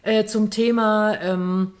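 A woman's voice: mid-range voice, steady tone, takes it slowly, darkish tone. A woman with a Kölsch accent co-hosts a casual pop culture podcast. She talks in a loose, chatty way, laughing often and restarting her sentences as she goes. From Cologne, Germany. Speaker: podcast co-host. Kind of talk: casual chat. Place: home in Berlin.

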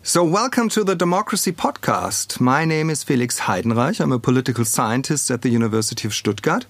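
Man, German accent, podcast host, measured and formal, academic interview style, mid-pitched, very dry recording. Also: expressive, no room sound. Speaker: political scientist. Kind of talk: podcast introduction and interview questions.

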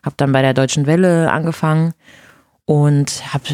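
A woman's voice: low voice, moderate pace, no room sound, clean studio sound. A woman from Brazil, a native Portuguese speaker in her thirties, chatting frankly in an interview as the guest. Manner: relaxed, frank, with fillers. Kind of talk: casual interview chat.

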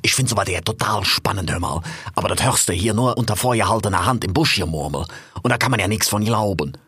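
A man's voice: on the low side, fast talker, fairly bright tone, breathy edge, no room sound, studio quality. A man voicing a jovial, blustery old male monkey king who rambles in dialect and keeps saying "hör mal".

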